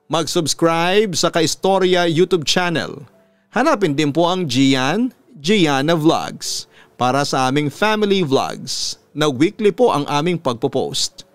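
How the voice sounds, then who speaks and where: A Filipino man narrating a sentimental letter as a story host, in an earnest, measured announcer's delivery. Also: a little high, no room sound. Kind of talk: dramatic reading of a listener's letter, sentimental narration. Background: music under the voice.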